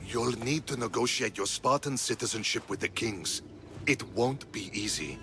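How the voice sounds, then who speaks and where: A man playing a shrewd, earnest Spartan officer in a video game cutscene, deliberate and persuasive. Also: mid-range voice, pushed and bright, soft background music, lightly acted. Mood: measured, wry.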